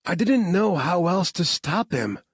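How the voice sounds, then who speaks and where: A man's voice: high-pitched, very animated, a little slow, breathy edge, no room sound, pitched above the performer's own voice, full character voice. A man voicing a fierce, determined woman scientist in an audiobook, speaking urgently.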